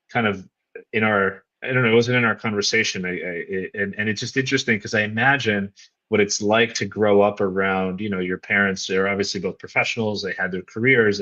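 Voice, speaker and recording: A man hosting a podcast, asking rambling, curious questions in a low voice. His voice comes through a dull, lo-fi remote call line. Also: a bit bright. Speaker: podcast host. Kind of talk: interview questions, casual.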